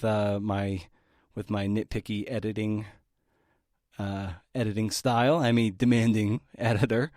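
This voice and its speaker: A man's voice: on the low side, a little mellow, dry recording, studio quality. A man hosting a podcast, speaking conversationally and informally straight to his listeners. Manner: informal, addresses listeners directly. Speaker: podcast host and editor.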